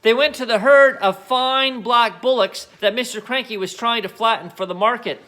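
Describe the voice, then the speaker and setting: A man reading a children's book aloud as an expressive narrator. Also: very high voice, normal speed. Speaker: storybook reader.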